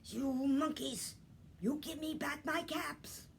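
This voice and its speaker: A woman voicing an angry male cap peddler who shouts and scolds in a high, loud voice. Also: very slow, a little hiss or hum, heavily acted. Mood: scolding.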